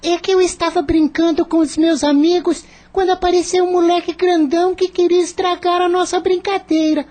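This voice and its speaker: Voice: very high voice, darkish tone. A performer playing a shy, thoughtful seven-year-old boy in a radio play, earnest and full of questions.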